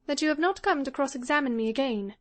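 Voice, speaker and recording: A woman's voice: high voice, lively intonation, quick pace, no room sound, voice pushed up. A proud lady of the house speaks in a raised, guarded voice, giving nothing away, as a woman reader puts her on. The recording is close and dry. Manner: guarded, defensive.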